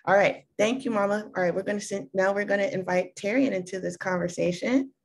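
A woman's voice: medium pitch, fairly even intonation, fairly quick, vocal fry. A woman hosting a panel on a video call, introducing guests in an affectionate, encouraging way. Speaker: panel host and moderator.

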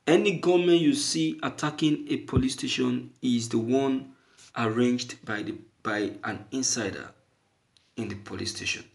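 Male online commentator, mid-pitched with a creaky edge, emphatic and halting, opinionated take on the news. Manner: emphatic, repeating phrases for stress.

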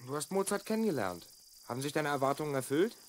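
A confident young boy, with a high voice and very lively intonation. He eagerly explains things like a little adventurer in a children's radio play. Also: quick pace. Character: confident, adventurous twelve-year-old boy time traveller from the future. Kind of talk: children's audio drama acting.

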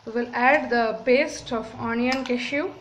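A woman whose first language is Bengali, calmly walking through a home cooking tutorial with frequent "okay, so" fillers, at her kitchen stove. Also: on the high side, measured pace. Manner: calm, instructive, many "okay, so" fillers. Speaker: cooking video host. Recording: home recording with clear kitchen noise. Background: clinking pots, pans and cutlery.

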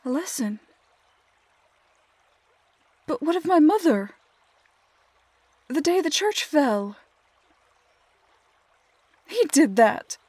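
A woman playing a tender, anguished young woman in a fantasy audio roleplay, speaking in a hushed, hesitant, halting way. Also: high-pitched, very animated, no room sound, heavily acted. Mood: sorrowful, shaken.

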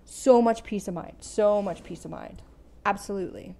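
A woman's voice: mid-range voice, normal speed, gravelly, warm tone. A middle-aged woman chatting casually while demonstrating kitchen tools, repeating phrases and often tagging on "right?". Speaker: vlogger. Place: home kitchen, indoors. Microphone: earphone headset mic, phone held close.

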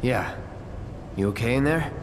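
An actor playing a stoic young mercenary hero in a video game, clipped and understated. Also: male voice, medium pitch, lively intonation, measured pace, long reverb tail, noisy background, close to an everyday voice. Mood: reserved. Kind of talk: video game cutscene acting.

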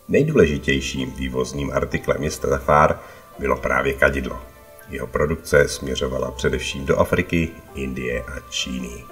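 A man with an extremely deep, low voice narrates a travelogue as the vlog's storyteller. He reads scripted narration over clearly audible background music. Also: fairly bright tone.

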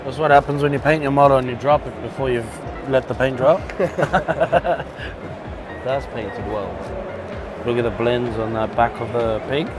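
A man's voice: mid-range voice, fairly even intonation, normal speed, pauses often, over loud music. Man chatting at a noisy convention. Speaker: vlogger. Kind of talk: casual chat while filming. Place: large indoor convention hall.

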